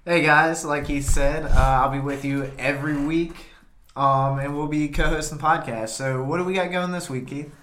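A man's voice: a little high, normal speed. Brief: easygoing male co-host, loose filler-heavy talk, echoey room. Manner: relaxed, filler-heavy, laughs easily. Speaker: podcast co-host. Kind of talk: casual podcast chat.

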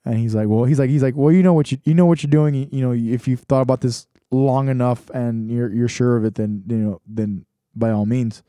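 A young man talks things over in a loose, rambling monologue, circling back and restarting his sentences. His tone is mellow and relaxed. The sound is dry and close, with little room around it. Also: medium pitch, fairly quick, hardly any pauses, smooth, no echo. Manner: rambling, many restarts and fillers. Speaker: podcast host. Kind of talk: casual reflective monologue.

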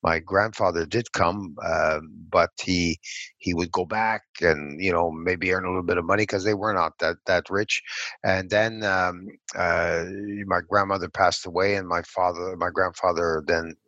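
Low-voiced older man, halting. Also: fairly even intonation, sharp tone, dry recording, muffled recording. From Canada (Montreal). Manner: halting, many fillers and restarts. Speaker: podcast guest, former political figure. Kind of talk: reflective interview storytelling.

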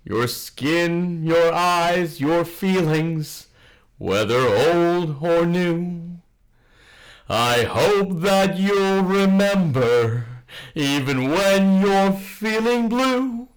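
Man, slightly higher voice, slow and earnest, comedy skit. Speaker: podcast performer. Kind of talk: comedy radio play acting.

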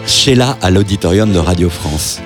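A man talking in an interview, pausing often with fillers and restarting his sentences as he speaks. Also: sits low, expressive, over music. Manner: hesitant, many fillers and restarts. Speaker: festival programmer. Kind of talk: interview soundbite.

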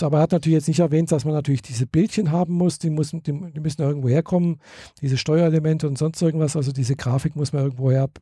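A man's voice: on the high side, dark tone, husky, no echo, studio quality. A man, chatting casually and hesitantly, with many fillers and restarts. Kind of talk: casual chat.

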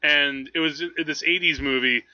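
A man co-hosting a podcast, chatting casually about films in an animated, digressive way. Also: a little high, normal speed, no echo, dull audio. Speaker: podcast co-host. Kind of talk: casual film chat.

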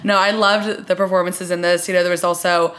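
A woman's voice: lowish voice, flat delivery, a little fast, a bit bright. A woman hosting a casual chat, talking informally and explaining things as she goes. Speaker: podcast host.

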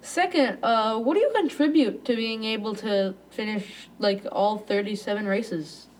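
A woman's voice: a little high, unhurried, a little hiss or hum, rough recording. A young woman hosting a podcast, reading interview questions with small stumbles.

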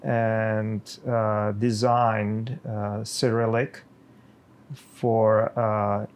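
A middle-aged man from the Czech Republic with a Czech accent, a pastor speaking slowly and haltingly with many pauses in a podcast conversation. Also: on the low side, little pitch movement, darkish tone, clean tone.

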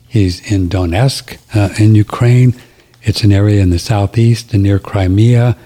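Low husky male radio host. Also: steady tone, a little slow, mellow. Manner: rambling, many fillers. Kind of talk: casual talk radio.

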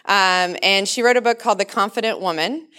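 A woman's voice: mid-range voice, brisk, clean tone. A woman speaks to a church congregation through a handheld mic that she keeps well away from her mouth. She sounds a little nervous, with the occasional laugh, and her voice sits in a middle range. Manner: warm, nervous, laughs often, says she has a loud voice. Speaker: pastor's wife giving a Mother's Day message. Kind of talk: church talk with a read-aloud story. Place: church sanctuary in front of a congregation. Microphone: handheld mic held away from the mouth.

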